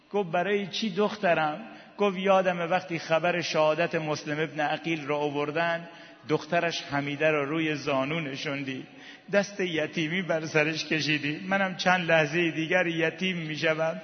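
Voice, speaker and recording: A man telling a sacred tragedy as a religious eulogist, with a plaintive, impassioned and drawn-out dramatic delivery. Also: on the high side, fairly even intonation. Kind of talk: mournful religious storytelling with chanted lines. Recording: muffled, long hall reverb.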